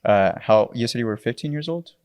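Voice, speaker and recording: A man's voice: medium pitch, animated, brisk, a little mellow, clear, resonant tone, no echo. A man hosts a podcast, his voice sitting mid-range for men and recorded dry and close. He asks friendly, loose questions padded with fillers. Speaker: podcast host. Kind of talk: casual interview questions.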